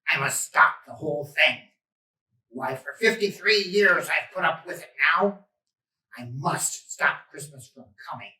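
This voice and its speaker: Storybook villain: sneering old male monster, performed by a man, sly and forceful, slow delivery, echoey room. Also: fairly high pitch, animated, heavily acted. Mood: grouchy, scheming. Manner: snarling, sly, emphatic. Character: sneering, scheming, grouchy old male Christmas-hating monster. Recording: echoey room, distant microphone.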